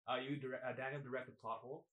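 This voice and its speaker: A man's voice: mid-range voice, little pitch movement, quick pace, fairly bright tone. A young man being interviewed as a guest filmmaker, chatty and full of "you know" and "like"; he grew up in Thailand. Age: young adult. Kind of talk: casual interview chat.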